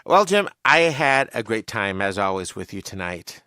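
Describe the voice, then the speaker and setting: A man's voice: on the low side, big pitch swings, normal speed, bright, forceful tone, no echo, studio quality. A man co-hosting a fan podcast, chatting casually and explaining things carefully. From Mexican-American family. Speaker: podcast co-host. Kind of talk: casual chat.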